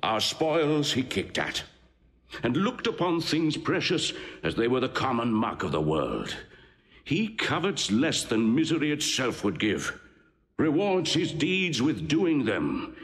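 A grave Roman general declaims with ardour. His mid-pitched voice rises and falls widely, taking its time, and rings through a reverberant hall. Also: sing-song, a bit bright. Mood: solemn praise. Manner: declamatory, measured. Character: Roman general extolling a warrior's bloody deeds, grave and ardent. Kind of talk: classical Shakespearean stage acting.

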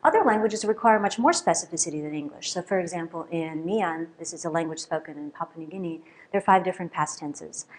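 A middle-aged woman, a native Russian speaker, giving a conference keynote at a lectern in a lecture hall, lively and often checking in with "right?". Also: lowish voice, fast. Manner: lively, questioning, with frequent "right?" and fillers. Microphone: lectern microphone.

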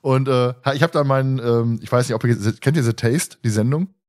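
A man co-hosts a casual podcast. His voice sits at an average pitch. He rambles through his anecdotes and likes to tease. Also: brisk. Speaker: podcast host.

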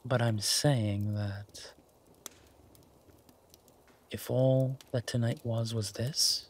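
Male actor as affectionate werewolf boyfriend, roleplay audio. Husky and breathy, unhurried, gentle, halting delivery, easygoing and content. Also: mid-range voice, fairly even intonation. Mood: tender, content. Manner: gentle, halting, murmured with long pauses. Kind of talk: intimate roleplay audio, slow soft conversational acting.

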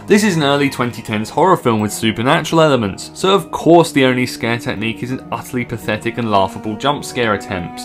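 A man in his twenties narrating a scripted video review in a sardonic, scornful way. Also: mid-range voice. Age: 20s. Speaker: film reviewer.

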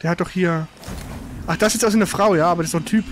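A man with a high voice gives casual gameplay commentary. He thinks aloud informally, as if to friends, over faint background noise. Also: fast. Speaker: video game streamer.

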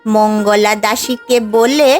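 A woman as a slow, motherly cartoon parrot. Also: medium pitch, fairly even intonation, darkish tone, natural voice. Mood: coaxing concern. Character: caring, motherly female parrot, loyal helper of a princess. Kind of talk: cartoon fairy-tale voice acting. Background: background music.

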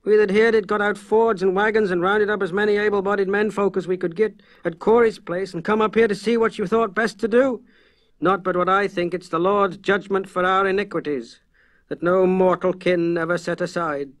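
A man's voice: sits high, fairly even intonation, normal speed, no room sound, voice pushed up, lightly acted. A man voicing a fearful, pious villager with a rural New England accent in a horror audiobook, anxious and folksy. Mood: anxious, fatalistic.

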